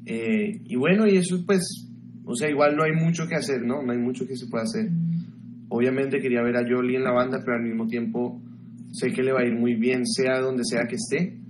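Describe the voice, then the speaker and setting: A young man from Ecuador livestreaming from a small bedroom, talking casually and gossiping as he answers viewers' questions. His voice sits at an ordinary middle pitch for a man. The webcam mic picks up a clear hiss of background noise. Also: steady tone, a little fast. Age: young adult. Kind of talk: casual chat with live viewers. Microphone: webcam mic at desk.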